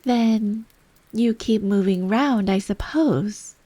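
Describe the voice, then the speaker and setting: A woman's voice: medium pitch, slow, warm tone, no room sound. A woman voicing a polite, earnest, curious young girl in a storybook. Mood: thoughtful.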